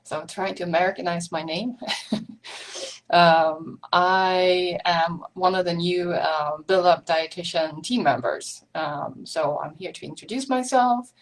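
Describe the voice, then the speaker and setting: A woman's voice: on the low side, a little slow, rough recording. A woman from Sweden with a Swedish accent, hosting a live online meetup in a chatty way, with many ums and small laughs. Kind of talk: casual live-stream chat.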